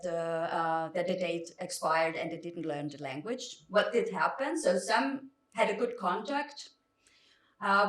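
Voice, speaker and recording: Woman historian giving a talk, stop-start and emphatic, roomy echo, mic kinda far off. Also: lowish voice. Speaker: academic lecturer, historian. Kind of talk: public academic lecture, semi-spontaneous. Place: indoor lecture room. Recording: echoey room, distant microphone.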